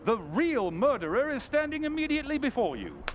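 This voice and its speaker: A man's voice: very high-pitched, wildly swooping pitch, fairly quick, noisy background, exaggerated voice. A man playing a confident, analytical Victorian detective in an old-time radio drama, explaining things precisely and with great self-assurance. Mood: assured, explanatory.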